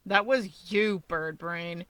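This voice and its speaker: A woman's voice: fairly low pitch, slow pace, fairly bright tone, no room sound. A woman voicing a surly, sardonic young man with a drawling, dismissive manner in a story reading. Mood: irritated.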